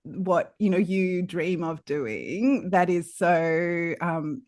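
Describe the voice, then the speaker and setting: A woman's voice: on the low side, very slow, a little mellow, dry recording, clean studio sound. A woman podcaster in casual, thoughtful conversation, earnest and encouraging, rephrasing as she goes. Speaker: panel guest, podcaster.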